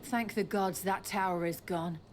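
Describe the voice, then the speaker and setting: An actress voicing a wary townswoman in a video game, speaking in a measured way. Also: medium pitch, fairly even intonation, a little hiss or hum, close to an everyday voice. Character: wary adult townswoman, relieved but uneasy.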